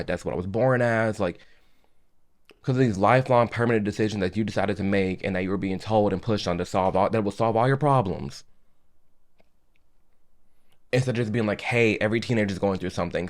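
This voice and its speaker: A man hosting a reaction video, talking in a casual, impassioned and emphatic way with many restarts. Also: on the low side. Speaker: commentary host.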